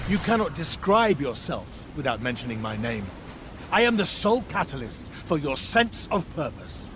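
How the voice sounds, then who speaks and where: Male game villain, pompous, contemptuous, theatrical, highly animated pitch, noisy ambience. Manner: pompous, condescending. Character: grandiose, contemptuous male villain, coldly domineering brother. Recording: game audio with loud ambient noise.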